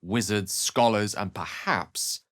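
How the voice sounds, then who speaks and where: A man's voice: on the low side, slow pace, fairly bright tone, no echo, clean studio sound. An actor playing a learned, wry male human wizard in a fantasy video game, speaking in an articulate, theatrical and bookish way. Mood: musing, wry. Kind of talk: fantasy game character lines and narration.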